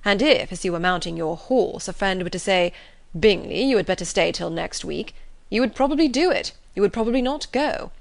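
A woman voicing a proud, reserved young gentleman who speaks in measured, lecturing tones. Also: mid-range voice, expressive, gravelly, no room sound. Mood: cool.

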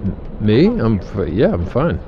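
A halting, muffled, earnest family man. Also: fairly low pitch, huge pitch swings. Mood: eager, flustered. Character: apologetic, eager-to-please husband and father on a call. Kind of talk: naturalistic audio drama acting. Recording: dull sound with traffic noise.

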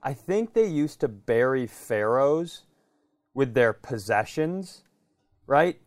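A man's voice: mid-range voice, big pitch swings, takes it slowly, a little mellow, dry recording, clean studio sound. A man co-hosting a comedy podcast, telling stories casually and riffing in a conversational way. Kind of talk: casual comic storytelling.

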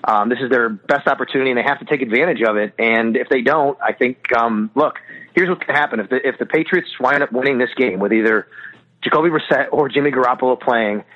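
A male sports radio commentator who talks very fast, heard over a somewhat dull, lo-fi connection. He delivers his opinions as a guest in an emphatic, argumentative style. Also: mid-range voice.

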